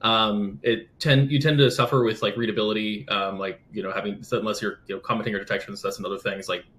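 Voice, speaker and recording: A man's voice: lowish voice, steady tone, fast talker, a bit bright. A man on a panel, hesitantly working through a technical answer over a video call. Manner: hesitant, thinking aloud. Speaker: panel guest. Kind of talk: technical explanation.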